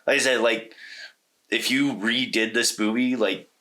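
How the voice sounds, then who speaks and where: A man's voice: medium pitch, steady tone, measured pace, sharp tone. A man co-hosting a casual discussion about films, talking in an informal, slangy way. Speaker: podcast co-host. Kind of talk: casual conversational movie discussion.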